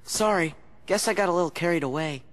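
A man's voice: a little high, quick pace, background noise, lightly acted. An actor playing an eager, good-hearted teenage boy hero in a video game, youthful and casual. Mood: sheepish. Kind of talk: video game cutscene acting.

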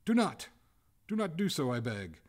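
Storybook lady ghost male reader, grand and beseeching, formal diction, highly animated intonation. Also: moderate pace. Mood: desperate pleading. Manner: formal, melodramatic, imploring. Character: female water ghost, haughty lady turning to desperate pleading.

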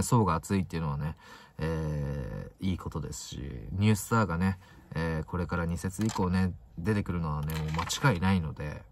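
Deep-voiced young man, animated pitch swings. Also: dry recording. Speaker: football fan vlogger. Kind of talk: casual solo football commentary. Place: inside a parked car.

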